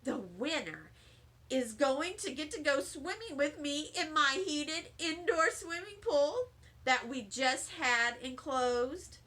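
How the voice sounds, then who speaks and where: A woman's voice: high voice, animated, slow pace, pushed and bright, exaggerated voice. A woman voicing a vain, showy first-grade girl with an affected, self-admiring manner in a children's storybook. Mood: showy excitement. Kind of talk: children's storybook read-aloud.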